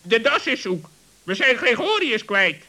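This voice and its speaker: Officious animal sidekick, piercingly bright and raised in pitch, sixties children's radio drama. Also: male voice, very animated, unhurried, heavily acted. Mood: brisk, complaining. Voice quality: sharp, forceful. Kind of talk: 1960s children's radio play acting.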